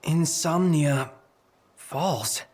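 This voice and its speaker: A man's voice: fairly high pitch, very slow, darkish tone. An actor playing an anxious, boyish young man in a video game, hesitant and downcast. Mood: downcast, uneasy. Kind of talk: video game cutscene acting.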